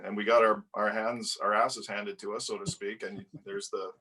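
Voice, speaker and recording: A man's voice: lowish voice, level tone, moderate pace, pushed and bright, far from the mic, poor audio. A man in his fifties, a podcast guest recalling his past in a laid-back, joking way with mild swearing. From Edmonton, Alberta, Canada. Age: 50s. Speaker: guest, club player. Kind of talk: casual storytelling interview.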